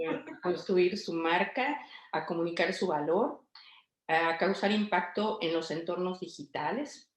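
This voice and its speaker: A woman's voice: on the low side, reverberant, low-quality recording. A middle-aged woman hosting an interview, introducing her guest and asking questions with many hesitant fillers. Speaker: host and interviewer.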